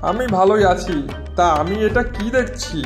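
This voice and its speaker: A man's voice: high-pitched, moderate pace, soft background music, lightly acted. Man playing a sly, meddling cartoon elder brother. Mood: prying. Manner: prodding, persuasive. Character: sly, meddling elder-brother figure giving crooked business advice. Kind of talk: moral-story cartoon voice acting.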